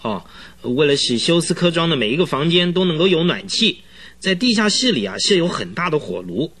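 An actor playing an agitated, talkative male client who recounts eerie events in a halting, rambling way in a radio drama, with a husky voice. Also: on the high side, very animated, fairly bright tone, full character voice. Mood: earnest, puzzled. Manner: rambling, halting, full of interjections. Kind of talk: Chinese radio drama acting.

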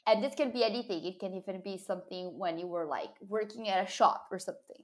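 A woman hosting a podcast interview, asking questions in a friendly, measured way with pauses, recorded remotely. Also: medium pitch. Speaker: podcast host. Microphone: remote call recording.

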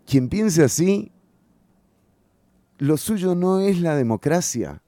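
A man's voice: on the high side, very animated, slow, warm tone, no room sound. A man hosting a radio show, giving emphatic political opinion commentary and repeating key phrases for stress. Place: radio studio.

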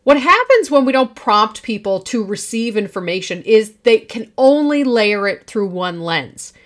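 A woman in her forties speaks on her own podcast, her voice pitched a little high. She talks with confidence and projects strongly, leaning hard on her points. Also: sing-song, measured pace, fairly bright tone. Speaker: podcast host. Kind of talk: solo podcast monologue.

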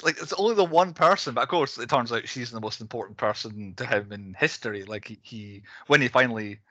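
A man hosting a casual film discussion, talking in a rambling way with animated asides. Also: medium pitch, brisk, no echo, dull audio. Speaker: podcast host.

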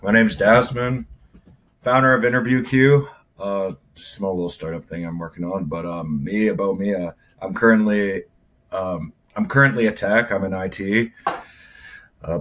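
A man with a low voice and a husky grain talks casually in a roundtable. His manner is informal and a bit hesitant, with laid-back wording. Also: steady tone, moderate pace, fairly bright tone. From Calgary, Canada. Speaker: roundtable guest, IT technician. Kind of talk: casual chat, self-introduction.

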